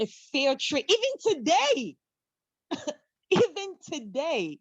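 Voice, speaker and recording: Woman from Cameroon, panel speaker on a video call; higher voice, passionate, lots of ums and rhetorical questions, lo-fi dry sound. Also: no echo. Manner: impassioned, many fillers and restarts, rhetorical questions.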